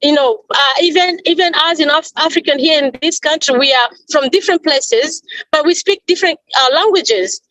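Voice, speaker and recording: Earnest high-voiced woman. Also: fairly bright tone, no echo, low-quality recording. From Congo. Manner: earnest, halting, repeats and rephrases as she goes. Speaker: panel speaker at a peace day event. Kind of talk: earnest speech at an online panel.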